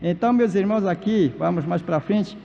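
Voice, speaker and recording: A man whose first language is Baniwa, a pastor and missionary from Amazonas, preaching at a church conference in halting speech full of fillers and restarts. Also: high-pitched, creaky, dark tone. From Amazonas, Brazil. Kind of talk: sermon at a church leaders' conference. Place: large church hall. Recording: dull, reverberant church PA recording.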